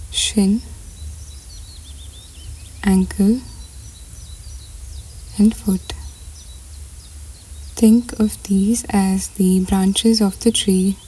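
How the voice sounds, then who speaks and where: A woman leading a scripted guided meditation in a soft, breathy voice that often nears a whisper, unhurried and measured with pauses between short phrases. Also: medium pitch.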